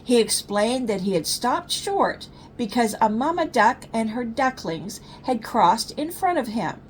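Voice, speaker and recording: A woman's voice: sing-song, a little slow. An older woman, a storyteller, reading a bedtime story to children. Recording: faint low rumbling background noise.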